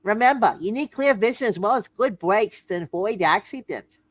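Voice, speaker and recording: A woman's voice: medium pitch, animated, normal speed. A woman announcer reading old-time radio sponsor spots in a playful, hammy style.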